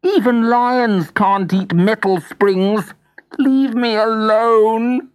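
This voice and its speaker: A sulky male jack-in-the-box toy from a storybook, put on by a storyteller, pitched high and squeezed out slowly. He drags every word into a whine. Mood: scornful. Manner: whining, drawn-out complaints.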